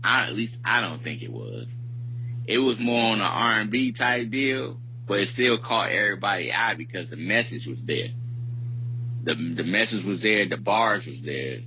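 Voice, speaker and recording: A low-pitched man with a bright, punchy voice chats casually as a podcast co-host. He rambles in loose slang and swears freely. The recording is muffled and lo-fi, with a faint hum. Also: animated, moderate pace. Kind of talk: casual music discussion.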